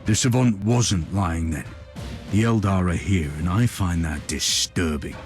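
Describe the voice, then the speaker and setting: A man playing a resolute, measured and formal inquisitor in a dark sci-fi video game. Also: low voice, loud music behind the voice. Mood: grim, measured. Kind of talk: video game cutscene voice acting.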